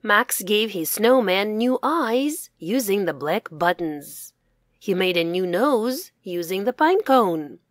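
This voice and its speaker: Slow, animated woman reading a children's story. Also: medium pitch, no echo, clean studio sound. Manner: careful, deliberate enunciation. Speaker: children's author reading own story aloud. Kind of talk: scripted children's storybook narration for English learners.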